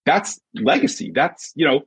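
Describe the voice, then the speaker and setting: Very husky man, animated coaching talk. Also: fairly high pitch, moderate pace, no room sound. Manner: emphatic, peppers points with rhetorical check-in questions. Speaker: podcast guest, sports mindset coach and author. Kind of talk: conversational motivational coaching talk. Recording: dull, muffled lo-fi audio.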